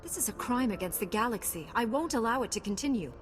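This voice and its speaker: An actress playing a righteous young warrior knight in a video game, composed, firm and formal. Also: female voice, fairly high pitch, expressive, quick pace, faint music behind, lightly acted. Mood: resolute. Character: resolute, righteous young female Jedi knight. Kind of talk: scripted video game cutscene acting.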